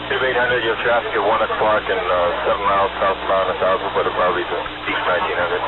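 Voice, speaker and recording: A man speaks as an air traffic controller in brisk, businesslike radio phrasing. His voice is slightly low and the words come very quickly. The sound is narrow and tinny, like a radio transmission, with heavy noise over it. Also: steady tone. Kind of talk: rapid clipped radio instructions. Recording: noisy narrowband aviation radio recording. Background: radio static and noise.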